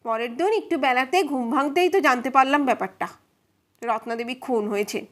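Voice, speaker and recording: A woman's voice: high voice, expressive, fast talker. A woman voicing a cautious male neighbour testifying in a courtroom audio drama, subdued and hesitant, hurrying through explanations. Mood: hurried, explaining.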